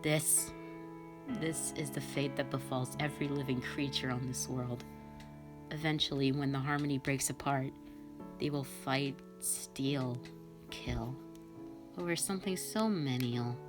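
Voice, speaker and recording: A woman's voice: a bass voice, steady tone, measured pace, music in the background, pitched below the performer's own voice. A woman voicing a sadistic, vengeful female fox spirit in a scripted audio drama, theatrical and condescending. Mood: cold, menacing.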